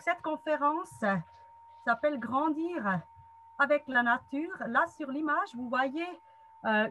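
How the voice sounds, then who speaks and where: A woman in her forties whose Swiss German accent marks her as a non-native speaker gives an explanatory lecture over a webcam call. Her voice sits a little high, and she talks in a friendly way with frequent hesitations. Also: fairly bright tone, a little hiss or hum. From German-speaking Switzerland. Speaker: guest speaker, psychologist and trainer. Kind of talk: online lecture presentation. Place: wood-panelled room at home. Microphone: webcam video call.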